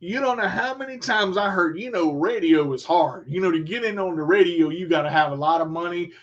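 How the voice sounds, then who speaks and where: Emphatic high-voiced man hosting radio. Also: brisk, highs cut off. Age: adult with a son. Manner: emphatic, repetitive, talks straight to listeners. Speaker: radio show host. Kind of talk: motivational monologue.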